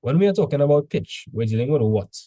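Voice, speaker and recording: A man's voice: mid-range voice, animated, fast, dark tone, no room sound, studio quality. A male teacher tutoring students in a casual session, full of "like" fillers, quizzing them with questions as he goes.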